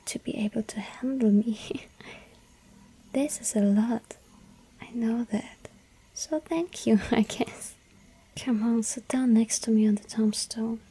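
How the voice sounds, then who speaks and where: A woman's voice: a little high, full character voice, poor audio. Whispering vampire girl, voiced by a woman. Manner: breathy soft whisper, pleading. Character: lonely yandere vampire girl, sweet and hungry. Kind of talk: ASMR roleplay whispering.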